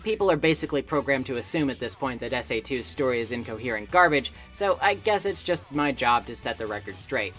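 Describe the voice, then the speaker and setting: A woman's voice: rumbling low, expressive, fairly quick, few pauses, fairly bright tone, faint music behind. A woman delivering scripted video essay narration in a conversational way, with wry asides.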